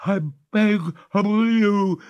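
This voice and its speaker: A man voicing a genteel female water ghost who pleads and protests in a high, drawn-out voice. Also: flat delivery, smooth, lo-fi sound. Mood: pleading.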